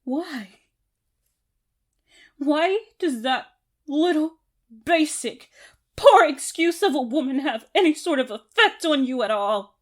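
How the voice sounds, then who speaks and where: Casting: actress as obsessive female captor for ASMR roleplay. High voice, slow theatrical delivery, gloating and unhinged. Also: lively intonation. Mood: indignant, menacing. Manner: deliberate, theatrical, broken by giggles.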